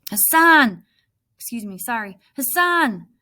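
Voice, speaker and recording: A woman's voice: a little high, animated, slow pace, sharp tone, voice pushed up, exaggerated voice. A woman voicing an anxious, pleading young refugee man in a storybook reading. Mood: alarmed. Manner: stammering, pleading when distressed.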